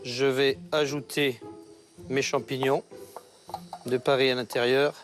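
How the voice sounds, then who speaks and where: A man's voice: mid-range voice, flat delivery, unhurried. A man demonstrating a recipe as a chef, giving step-by-step instructions and checking he is understood. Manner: instructive, checking in with short tags. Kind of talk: cooking demonstration. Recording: music behind the voice. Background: background music.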